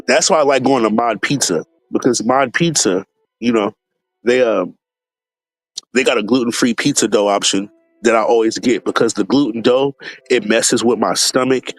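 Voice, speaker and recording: A man's voice: mid-range voice, normal speed, no room sound, poor audio. Laid-back, slangy man on a live stream. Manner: laid-back, slangy, many fillers, greets chat viewers by name. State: stuffed up from allergies. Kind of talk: casual live stream chat.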